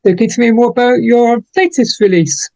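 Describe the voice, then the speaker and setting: An interview host asking simple, set questions in a steady way. The voice has a gravelly, fry-filled edge. It is recorded dry, with no room sound around it. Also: medium pitch, brisk, no room sound. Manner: plain, formulaic questions.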